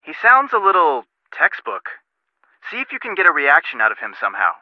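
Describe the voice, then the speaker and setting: Young sleuth, earnest, wry, animated, slightly high voice, dull dry game audio. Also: male voice, a little fast, no room sound. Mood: advising, curious. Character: helpful, sharp young male amateur detective advising by phone. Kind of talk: video game dialogue.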